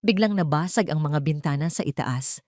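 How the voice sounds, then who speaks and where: A female storyteller with a low voice delivers scripted drama narration. She builds suspense dramatically, and the recording is dry with no room sound. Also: normal speed, darkish tone, dry recording.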